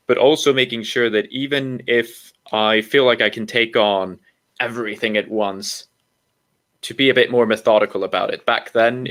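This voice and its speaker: A man from Iceland talking as an interview guest, relaxed and rambling with frequent ums and self-corrections. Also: mid-range voice. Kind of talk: casual interview talk.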